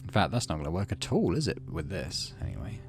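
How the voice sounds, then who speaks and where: A man's voice: low voice, big pitch swings, fast, creaky, music in the background. A man hosting a video game playthrough, reading the story text aloud and adding casual commentary. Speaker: gaming channel host.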